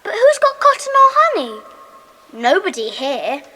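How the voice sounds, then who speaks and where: A woman playing an excitable, indignant schoolgirl in a children's audio drama. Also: very high-pitched, a little slow, in a large hall, full character voice, rough recording. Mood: eager, uneasy.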